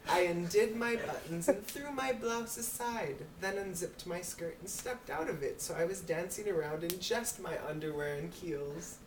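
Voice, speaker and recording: A woman's voice: lowish voice, moderate pace, hardly any pauses. A woman reading a story aloud as an amateur, with a giggly manner. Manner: giggly, breaking into laughs while reading. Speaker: amateur reader. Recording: roomy, distant mic with clear noise.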